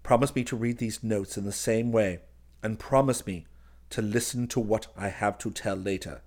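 A man's voice: lowish voice, fairly even intonation, normal speed, a little mellow, lightly acted. A man voicing a deluded, paranoid man in a storybook, imperious, haughty and intense in his commands. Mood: controlled, insistent.

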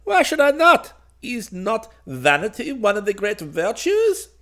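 Pompous royal bird, high voice, extremely animated melody, self-admiring, grandiose, storybook fairy tale. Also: male voice, a little slow, vocal fry, heavily acted. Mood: vain. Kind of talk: storybook character voice.

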